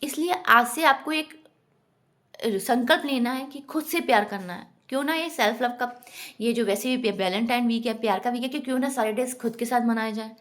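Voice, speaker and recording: A woman's voice: fairly high pitch, normal speed, a bit bright. A woman giving an earnest, instructive motivational talk directly to her viewers. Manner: earnest, instructive, often addresses listeners as friends. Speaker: self-help vlogger.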